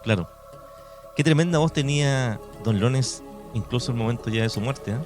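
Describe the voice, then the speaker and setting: A man's voice: medium pitch, normal speed. A man with a Chilean accent in casual conversation as a radio co-host. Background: soft music.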